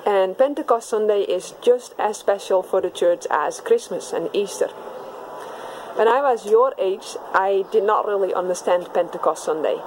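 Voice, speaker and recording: A woman's voice: medium pitch, normal speed. Dutch accent lady explaining stuff to kids, plain middle voice, bit of faint noise. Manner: simple, clear explanations to kids. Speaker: children's message presenter. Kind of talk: friendly talk to children.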